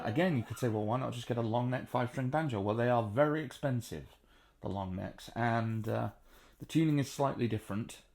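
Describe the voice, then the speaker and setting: A baritone man talks his way through a banjo lesson from his sofa at home. He speaks in an unhurried, chatty way, stopping for ums and uhs, and his pitch sits right in the middle. A bit of faint plucked-string playing is heard under his voice now and then. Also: a little mellow. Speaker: banjo teacher. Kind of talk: relaxed spoken music tutorial.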